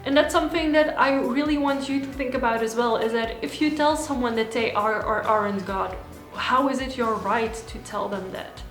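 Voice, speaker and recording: A woman's voice: on the high side, moderate pace, soft background music. Woman vlogging in an echoey room. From Belgium. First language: Dutch. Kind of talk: personal monologue to camera.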